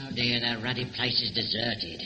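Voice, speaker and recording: An actor playing a nervous, superstitious Cockney sidekick, slangy and exclaiming, in an old-time radio drama. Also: male voice, mid-range voice, normal speed, long reverb tail, background noise, lightly acted. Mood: frightened. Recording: dull vintage radio recording.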